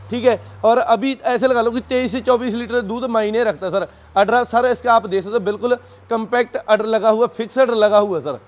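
High-pitched man giving a sales pitch. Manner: repeatedly addresses the listener as sir. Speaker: dairy cattle seller. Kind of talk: informal sales pitch to camera. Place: dairy farm.

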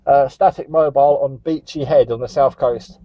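A man's voice: medium pitch, steady tone, moderate pace, no echo. Man, CB operator, radio contact chat, close dry mic, dull recording. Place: static vehicle on a seafront headland.

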